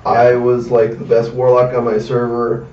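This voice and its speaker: A man's voice: mid-range voice, completely flat delivery, moderate pace, darkish tone. A young man talking casually on a gaming podcast, sweary and given to emphatic exaggeration. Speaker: podcast guest discussing games. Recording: echoey room, distant dull mic.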